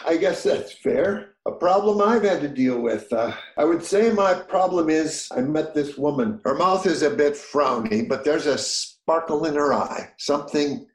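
A man's voice: a little high, natural voice. Actor as an earnest smitten man, echoey room. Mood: wistful, smitten. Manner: wordy, old-fashioned, poetic, halting when flustered. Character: earnest, smitten older man wooing a prickly woman. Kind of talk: romantic comedy audio play acting.

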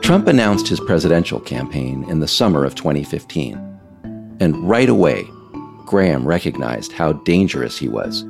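A man hosting a podcast series, reading scripted narration in a measured, emphatic, explanatory way. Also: extremely low pitch, very animated, creaky, music in the background. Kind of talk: scripted narration, audio essay read aloud.

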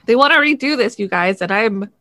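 A woman's voice: on the high side, animated, brisk, sharp tone, no echo. A woman co-hosting a podcast in an informal, chatty style. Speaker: podcast co-host. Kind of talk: casual chat.

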